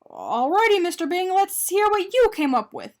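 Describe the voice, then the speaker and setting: A woman's voice: very high-pitched, wildly swooping pitch, moderate pace, voice pushed up, heavily acted. A woman voicing a small, eager male talking doughnut in a picture-book story, bubbly, earnest and exclamatory. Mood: hopeful, pitching ideas. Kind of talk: animated picture-book character reading.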